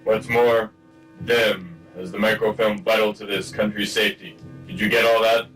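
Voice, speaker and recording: Stern spy boss guy man acting, lowish voice, radio comedy, music behind him. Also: fairly even intonation, normal speed, a bit bright, recorded from a distance, lightly acted, poor audio. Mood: official, exasperated. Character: stern, self-important male secret service chief. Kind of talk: comic spy radio play.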